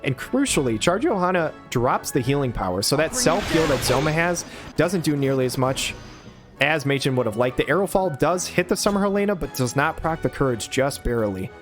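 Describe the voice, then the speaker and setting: A man in his thirties giving quick, excited play-by-play of a game on a headset mic, his voice hoarse from a cold. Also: fairly high pitch, over music. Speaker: game caster. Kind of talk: play-by-play commentary.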